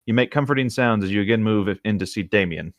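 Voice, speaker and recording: A man's voice: fairly low pitch, quick pace, no echo, studio quality. A man co-hosting a read-along, narrating fan fiction and commenting on it in a playful, casual way. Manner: amused, playful. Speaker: co-host of a fan fiction reading show.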